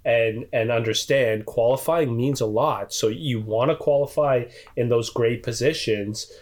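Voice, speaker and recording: A man's voice: medium pitch, measured pace. A man with a mid-range voice talks as a podcast co-host. He chats casually and offers his opinions in plain, informal language.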